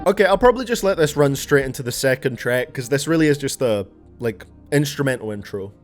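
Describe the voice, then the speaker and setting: Male music reviewer, mid-pitched and lively, casual, sardonic, blunt reactions. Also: brisk. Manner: sardonic, blunt, mildly profane. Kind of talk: casual album reaction commentary.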